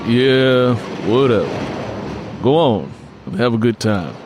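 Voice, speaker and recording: A man's voice: mid-range voice, animated, slow, noisy background. Man voicing an irritable, blunt husband. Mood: sarcastic, dismissive. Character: irritated, gruff husband and brother, adult man.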